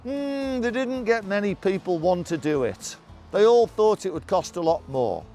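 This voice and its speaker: Theatrical, high-pitched man telling history tales. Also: lively intonation, mellow, faint music behind. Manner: jovial, hammy, exclamatory, with rhetorical questions and dramatic pauses. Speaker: town crier storyteller and guide. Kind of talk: theatrical historical storytelling to camera.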